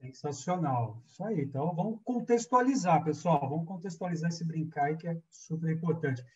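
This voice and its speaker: A man's voice: a little high, animated, a little fast, a little mellow. A Brazilian man hosting an online interview programme in an upbeat, informal, friendly and enthusiastic way. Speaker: host of a weekly online programme. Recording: distant, dull lo-fi sound.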